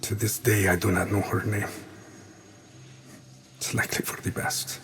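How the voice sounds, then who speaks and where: A man playing a remorseful assassin haunted by his past, in a grave, halting video game monologue. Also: on the low side, little pitch movement, fairly bright tone, slight background noise. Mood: haunted, bitter.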